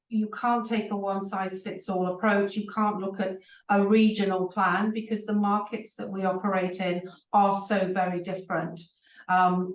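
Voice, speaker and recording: A woman executive speaking as a panel guest, explaining her company's approach fluently with the odd "um". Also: medium pitch. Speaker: chief people officer, panel guest. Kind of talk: conversational panel talk. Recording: echoey room, distant mic.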